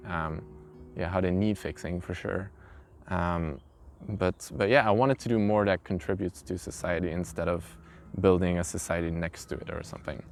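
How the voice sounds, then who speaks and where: A man, an English speaker, telling his own story informally in an interview. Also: low voice. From Belgium. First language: not English. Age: early twenties. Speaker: interviewee, carpenter. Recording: clear background noise, ambient sound.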